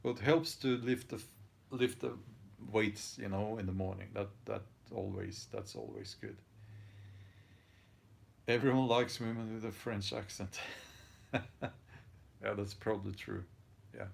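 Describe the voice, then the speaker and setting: A man in his forties with a Norwegian accent, hosting his channel and reading out viewer comments in casual chat, thoughtful and halting with many ums and restarts. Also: lowish voice, wildly swooping pitch, creaky. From Norway. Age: 40s. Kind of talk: casual live-stream chat.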